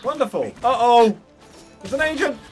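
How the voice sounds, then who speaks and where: A woman's voice: on the high side, animated, normal speed, a little mellow, faint music behind. A woman who plays a game and comments cheerfully, giggling as she reacts. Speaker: game streamer. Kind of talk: let's play commentary.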